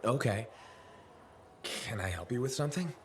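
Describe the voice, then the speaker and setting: A man playing a steady, laconic freelance pilot in a video game, talking in an understated, casual, dry way. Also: medium pitch, moderate pace, a little hiss or hum, lightly acted. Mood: calm, agreeable. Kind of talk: video game cutscene acting.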